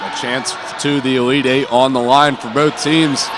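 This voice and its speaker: Male sports announcer, live basketball call, medium pitch, very loud gym crowd and heavy court noise around him. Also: level tone, normal speed. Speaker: play-by-play announcer. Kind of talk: live basketball radio-style commentary. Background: cheering crowd and bouncing basketball.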